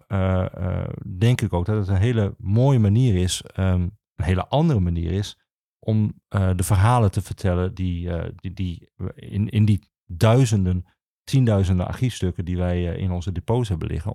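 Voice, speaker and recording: Male archivist, low and creaky, hesitant conversational answers, dry sound. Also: moderate pace, dark tone, no room sound. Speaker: regional archivist.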